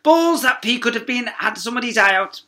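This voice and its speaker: A man voicing a furious, officious middle-aged maths teacher from a children's storybook, shouting in outrage. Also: very high-pitched, animated, a little fast, pitched above the performer's own voice, full character voice. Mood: furious confiscating.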